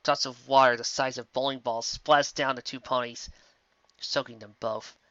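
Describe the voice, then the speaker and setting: A man reading a story aloud with expressive storytelling. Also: mid-range voice, normal speed, dry recording, highs cut off. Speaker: reader. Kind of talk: audiobook narration of fan fiction.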